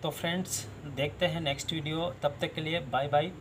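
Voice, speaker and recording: A man's voice: a little high, fairly even intonation, brisk, noisy background. A man in his thirties hosting a job channel, giving direct-to-camera advice outdoors by a wall, gesturing and repeating key points.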